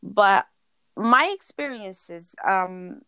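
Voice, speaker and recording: Smooth-voiced woman from Portugal. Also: mid-range voice, lively intonation, drawn-out words, pushed and bright, no echo. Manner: earnest, searching for words, many restarts. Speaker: podcast guest. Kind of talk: personal storytelling in conversation.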